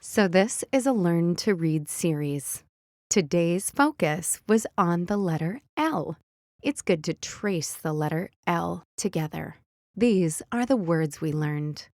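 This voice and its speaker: A woman hosting a children's read-aloud, narrating and teaching early reading in simple, deliberate wording. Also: on the low side, expressive, darkish tone, no echo, studio quality. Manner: simple, deliberate wording, instructing young readers. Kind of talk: slow phonics storybook read-aloud for young children.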